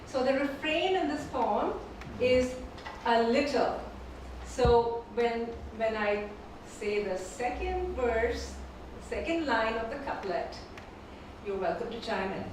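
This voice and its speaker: A woman whose first language is Urdu, reading her poems slowly and repeating each first line at a live poetry event. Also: fairly high pitch, animated, background noise. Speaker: featured poet. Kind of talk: poetry reading with spoken introductions. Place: performing arts venue. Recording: echoey hall from far off.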